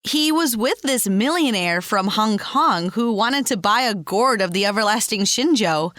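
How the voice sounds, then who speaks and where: A woman's voice: medium pitch, animated, a little slow, a bit bright, dry recording, studio quality. A woman playing a laid-back traveler confiding in a friend, in a casual, conversational audio drama style. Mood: quietly concerned.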